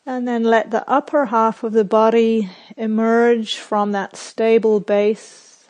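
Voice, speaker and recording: Very slow-paced woman guiding meditation. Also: a little high, little pitch movement, lo-fi sound. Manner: gentle, unhurried instruction in short phrases with long pauses. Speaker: meditation teacher. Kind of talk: guided meditation.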